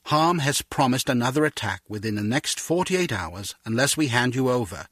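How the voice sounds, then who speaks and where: A man's voice: medium pitch, animated, moderate pace, fairly bright tone, dry recording, clean studio sound. An actor playing a grave, courteous male intelligence chief with a formal, commanding manner in a video game. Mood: businesslike.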